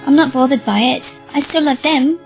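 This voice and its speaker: A woman acting a high-voiced earnest little girl. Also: normal speed, gravelly, soft background music. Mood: earnest, subdued. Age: child character. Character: earnest, accepting young girl. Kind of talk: audio drama acting.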